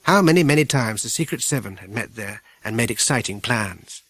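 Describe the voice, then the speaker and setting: A man with a British accent reading a children's audiobook in measured, clearly phrased storytelling. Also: mid-range voice, lively intonation, a bit bright, no room sound. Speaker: audiobook narrator. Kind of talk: scripted children's story narration.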